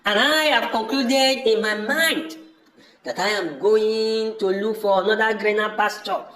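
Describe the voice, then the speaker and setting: A man's voice: high-pitched, a bit bright, reverberant, low-quality recording. A man in an amateur audio drama playing a brother, speaking in an emphatic and repetitive way. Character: welcoming but disillusioned brother, fed up with farming.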